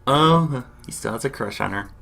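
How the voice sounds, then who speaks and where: A man's voice: medium pitch, normal speed, gravelly. A man hosting an enthusiastic reaction and discussion vlog, exclaiming often and repeating himself. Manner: excitable, exclaiming, repetitive. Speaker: YouTube reaction host.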